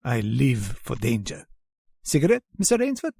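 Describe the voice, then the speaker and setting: A man's voice: medium pitch, wildly swooping pitch, normal speed, gravelly, mellow, breathy edge, no echo, studio quality, exaggerated voice. A man playing a genteel, menacing aristocratic general and hunter in a radio drama, courtly, formal and theatrical in manner. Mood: sinister relish. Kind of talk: old-time radio drama acting.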